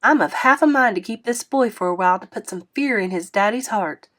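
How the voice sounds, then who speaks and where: A woman voicing a kind but scolding motherly woman who fusses over a child in a lively way. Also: medium pitch, a little fast, close to an everyday voice. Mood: indignant. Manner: warm, animated, fussing.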